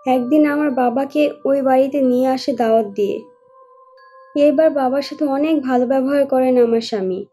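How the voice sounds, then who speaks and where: A woman's voice: high-pitched, normal speed, warm tone, breathy edge. A woman playing the sorrowful, resigned ghost of a wronged young woman in a horror cartoon, speaking plaintively and with reproach. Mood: sorrowful recounting. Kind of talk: dramatic horror cartoon voice acting. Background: music.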